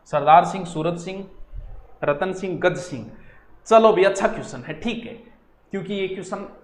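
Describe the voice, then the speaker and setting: A man's voice: high-pitched, moderate pace. A man with a Rajasthani accent giving an informal exam-coaching lecture, addressing students directly and slipping into local dialect. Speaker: history teacher for exam coaching. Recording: roomy, echoing sound.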